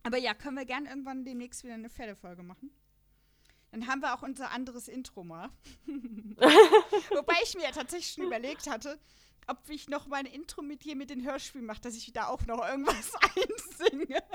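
A woman's voice: high-pitched, expressive, moderate pace. A woman hosting a casual podcast chat about radio dramas, chatty and laughing often as she talks. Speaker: podcast host.

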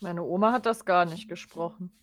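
A woman's voice: fairly low pitch, normal speed. A woman chatting casually as a podcast co-host, with a dry, matter-of-fact way of talking. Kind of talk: casual chat about an audio drama.

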